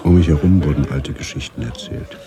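An actor playing a sober, middle-aged doctor who recalls his past in measured, reflective radio drama narration, with a husky, breathy voice. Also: very deep voice, a loud crowd. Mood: reflective, subdued. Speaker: first-person narrator.

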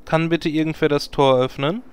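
A man's voice: fairly high pitch, fairly even intonation, normal speed, a little hiss or hum, lightly acted. A man playing a sardonic adventurer at the head of a hero party in a parody audio drama. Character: dry, sarcastic adventurer leading a hero party.

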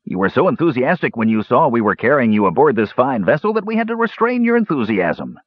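Man voicing a sly, husky, jovial dwarf. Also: medium pitch, sing-song, moderate pace, no room sound, dull audio, pitched above the performer's own voice. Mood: cajoling. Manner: solemn, cunning, cajoling, mock-innocent. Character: sly, jovial pot-bellied bearded male dwarf adventurer. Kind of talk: audiobook character voice.

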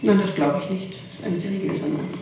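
A middle-aged woman is being questioned, heard from far off in a heavily echoing room on a 1960s tape. She answers haltingly and evasively, often hunting for the right word. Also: mid-range voice. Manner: halting, evasive, searching for words. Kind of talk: police interrogation answers. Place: reverberant room, far from microphone. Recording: noisy, echoing 1960s interrogation tape, distant.

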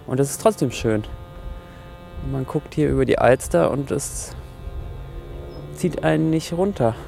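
A man talking reflectively and spontaneously, halting and repeating words as he searches. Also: mid-range voice, vocal fry, mellow, soft background music.

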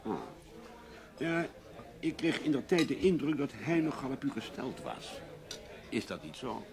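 A man's voice: mid-range voice, lively intonation, measured pace, creaky, a crowd in the background. An actor playing a blunt, calculating businessman in a Dutch radio play, direct and coolly polite. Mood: probing, cool. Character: blunt, calculating businessman negotiating over staff.

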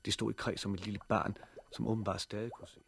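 An actor in a 1960s radio drama playing a sober male survivor who tells his story in a measured, serious way. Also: lowish voice, level tone, gravelly, a crowd in the background. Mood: sober narration. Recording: slightly noisy old radio recording.

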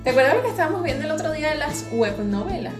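A woman's voice: high-pitched, very animated, rapid-fire. A woman chatting casually as a podcast co-host. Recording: roomy echo, music behind the voice. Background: background music.

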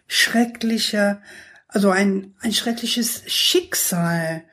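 A woman's voice: mid-range voice, very slow, fairly bright tone. An older woman from the United States, a native English speaker, chatting as an interview guest, often searching for words and adding tag questions. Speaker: guest, author. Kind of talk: casual conversational interview.

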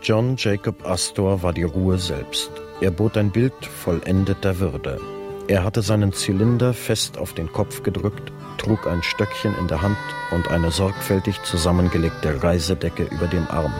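An actor playing an unruffled chronicler in a radio play, narrating in a formal, measured tone. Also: male voice, low voice, over music.

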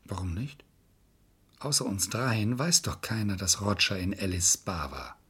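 Sarcastic lawyer in old radio crime drama, deepish rough voice, grilling and scornful. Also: male voice, lively intonation, unhurried, sharp tone, exaggerated voice. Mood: grim, brisk. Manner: sardonic, interrogating, scornful. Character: stern, sarcastic male defence lawyer, betrayed and exasperated. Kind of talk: vintage German crime radio play acting.

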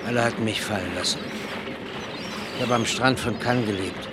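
Over heavy boat noise, an actor plays a down-and-out surgeon turned sailor in a radio play. His male voice is mid-pitched. He talks frankly and plainly, with resignation. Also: moderate pace, natural voice. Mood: resigned, frank. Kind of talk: radio drama dialogue. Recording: loud boat and outdoor background noise.

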